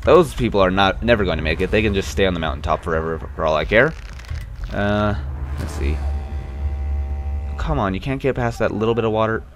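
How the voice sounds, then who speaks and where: A man's voice: on the low side, lively intonation, brisk, pauses often, slight background noise. A young man hosting a casual let's play, rambling and wryly complaining about the game, a bit stuffy with a slight cough. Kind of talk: casual gameplay commentary.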